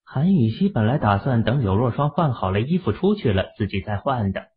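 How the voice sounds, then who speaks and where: A man narrating a serialized audio drama in an expressive storytelling manner. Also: mid-range voice, brisk. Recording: tinny phone-like audio.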